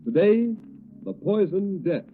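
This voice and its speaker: A man's voice: high-pitched, very animated, slow, faint music behind. A man announcing in a dramatic, declamatory 1930s radio style. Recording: tinny, muffled old radio recording.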